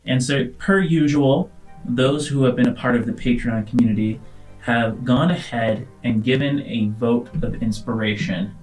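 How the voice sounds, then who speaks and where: A man's voice: mid-range voice, normal speed, darkish tone, poor audio. Chatty man hosting over faint music. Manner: chatty, informal, addressing listeners directly. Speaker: host and game master. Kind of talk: casual podcast talk and tabletop game narration.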